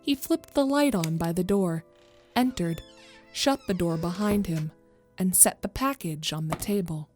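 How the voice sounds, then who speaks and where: Slow, lowish woman narrator. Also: a little mellow, faint music behind. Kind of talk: scripted narration of a horror fan story.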